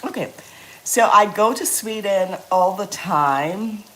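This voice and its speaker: Slow-talking woman cooking live. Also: medium pitch, a bit bright, echoey room, low-quality recording. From Guyana. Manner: chatty, answers viewers' comments, laughs easily. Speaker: livestream cooking host. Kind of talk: casual livestream cooking chat.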